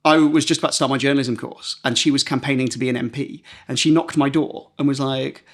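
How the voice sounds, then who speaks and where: A man's voice: medium pitch, fairly even intonation, fast talker. A man co-hosting a podcast as a journalist, telling anecdotes casually in a hesitant, self-correcting way. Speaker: podcast co-host and political journalist.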